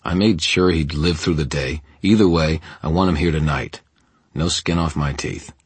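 A man playing a gruff gang boss in an audiobook, with a growling sandpaper rasp and a measured, condescending manner. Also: a bass voice, no echo. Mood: growling contempt. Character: gruff male gang boss, calm, weary, menacing.

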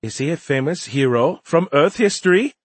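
A man's voice: on the high side, animated, normal speed, breathy edge, dry recording, voice pushed up. A man voicing an earnest, formal young male alien liaison who is easily puzzled, in an audiobook. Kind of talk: audiobook character voice.

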